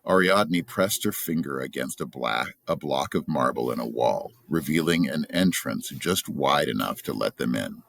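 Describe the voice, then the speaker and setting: A man narrates a storybook in an extremely deep voice with a creaky edge. He reads aloud, and the recording is dry and close. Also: expressive, moderate pace, few pauses, fairly bright tone, dry recording. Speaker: storybook reader.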